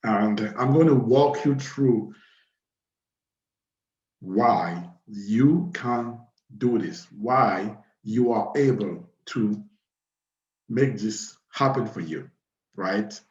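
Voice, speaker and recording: A man in his thirties from Cameroon hosting an informal live webinar, speaking haltingly and repeating phrases. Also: lowish voice, a little mellow. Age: 30s. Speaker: webinar host. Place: small plain room indoors. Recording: roomy, dull webcam audio.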